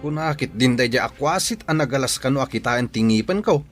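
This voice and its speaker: A man with an Ilocano accent playing a brash, teasing man in a radio drama, quick to retort. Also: mid-range voice, expressive, faint music behind, natural voice. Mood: defensive.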